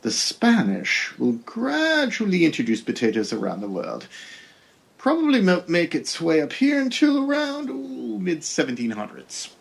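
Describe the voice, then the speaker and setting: An actor playing a blunt, deadpan male Pilgrim governor in a comedy sketch. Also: sits high, measured pace, vocal fry, exaggerated voice. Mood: amused, matter-of-fact. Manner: matter-of-fact, darkly deadpan.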